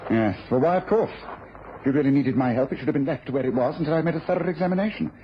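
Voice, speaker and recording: A sardonic, incisive English gentleman detective played by a man in an old British radio play. He talks fast and questions sharply, with faint impatience. The recording is dull and a little noisy. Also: a little high, lightly acted. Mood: irritated. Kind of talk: vintage British radio drama acting.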